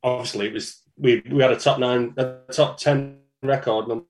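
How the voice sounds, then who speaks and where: A man's voice: mid-range voice, fairly even intonation, moderate pace, soft background music, rough recording. Easygoing Manchester man on a video call. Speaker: band singer, guest. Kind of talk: casual interview chat.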